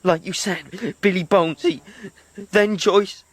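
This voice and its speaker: An actor playing a frightened, earnest lad with a husky voice, narrating his adventure in a radio drama. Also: sits high, fairly even intonation, takes it slowly. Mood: tearful, regretful.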